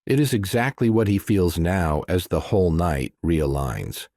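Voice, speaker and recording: A man with a low voice reads a thriller as an audiobook narrator. The delivery is scripted storytelling, recorded very dry. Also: lively intonation, unhurried, dark tone, dry recording.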